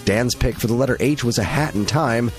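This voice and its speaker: A man's voice: medium pitch, brisk, faint music behind. A man hosting a casual podcast while losing his voice, joking and playful as he opens the show. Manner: playful, jokey, animated intonation. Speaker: podcast host.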